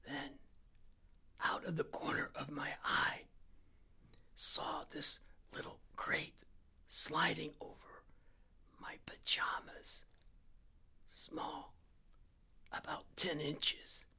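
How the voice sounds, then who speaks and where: A man voicing a terrified man who lies frozen in bed, speaking in a slow, careful whisper. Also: fairly high pitch, big pitch swings, gravelly, sharp tone, breathy edge, voice pushed up, heavily acted. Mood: tense whisper.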